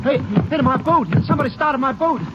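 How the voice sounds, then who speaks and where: A man's voice: very high voice, brisk. An actor playing a nervous, stammering male cabin owner in a 1950s radio western. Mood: alarmed. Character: jittery, hesitant male lakeside cabin owner. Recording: noisy, muffled 1950 radio recording.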